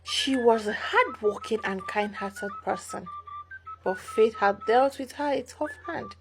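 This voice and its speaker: A woman storyteller reads a scripted folktale in a slightly high voice. Her pitch moves up and down in a lively way, and music plays clearly behind her. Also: measured pace, rough recording.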